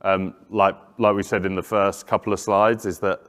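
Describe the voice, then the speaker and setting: A man presenting at a conference, answering questions in a measured, hesitant way. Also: deep voice, flat delivery, a bit bright. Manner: hesitant, restarts phrases, measured. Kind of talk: conference session Q&A. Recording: long reverb of a conference hall.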